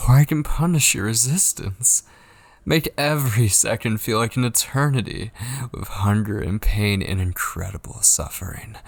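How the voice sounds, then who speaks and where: A man playing an obsessive, possessive kidnapper and coaxing lover in an intimate, whispery ASMR roleplay, husky and close to the mic. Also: fairly low pitch, heavily acted. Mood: menacing, devoted. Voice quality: husky, breathy, often dropping into whispers. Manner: slow, coaxing, possessive endearments. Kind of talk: intimate ASMR roleplay, scripted dramatic monologue. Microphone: close studio mic, dry.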